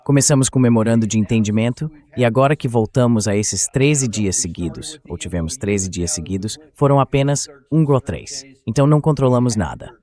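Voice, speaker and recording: A man's voice: mid-range voice, animated, brisk, hardly any pauses, dark tone. A man voicing a translated dub of political talk, reading questions and commentary as the host.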